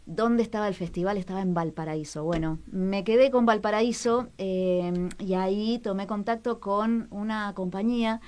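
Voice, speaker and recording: A woman's voice: medium pitch, fairly even intonation, normal speed, few pauses, a little mellow. Hesitant woman on talk radio. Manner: thoughtful, hesitant, many fillers and restarts. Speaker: radio culture columnist.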